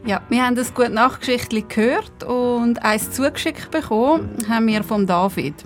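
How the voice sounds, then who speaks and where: Female podcast co-host, Swiss German, fairly high voice, casual presenting over light music. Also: normal speed. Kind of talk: reading credits and casual chat.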